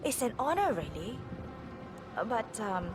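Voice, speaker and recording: A woman's voice: a little high, very animated, unhurried, over music. A woman voicing an anxious, devoted lab assistant who speaks cautiously and hesitantly in a dramatic video game. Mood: earnest, anxious. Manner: hesitant, pleading. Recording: clear background noise.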